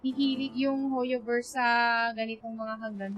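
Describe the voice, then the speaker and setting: A woman's voice: fairly high pitch, steady tone, normal speed, muffled recording. A woman with a Filipino accent giving casual live gameplay commentary, exclaiming and thinking aloud as she mixes Tagalog and English. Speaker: game streamer. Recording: game music behind the voice. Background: video game music.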